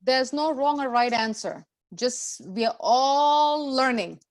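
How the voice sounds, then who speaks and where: A woman's voice: sits high, slow pace. A woman teaching a scripture class to a group, explaining in a didactic way with repeated phrases and rhetorical questions.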